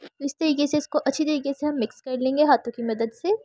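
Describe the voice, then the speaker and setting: A woman's voice: sits high, fairly quick, mellow, dry recording. A woman hosting a cooking tutorial, talking through each step in an easygoing, chatty way. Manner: easygoing, chatty, mixes English words into Hindi-Urdu. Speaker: cooking channel host.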